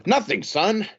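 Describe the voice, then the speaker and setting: Man acting a husky, grandiose promoter. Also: high voice, fairly bright tone, dull audio, heavily acted. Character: grandiose, slick wrestling promoter man, smooth-talking salesman. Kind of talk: comedic audio play acting.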